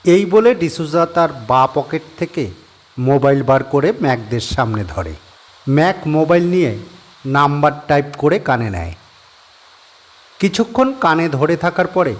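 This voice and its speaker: A man narrating a horror audio story in a measured storytelling manner. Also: a little high.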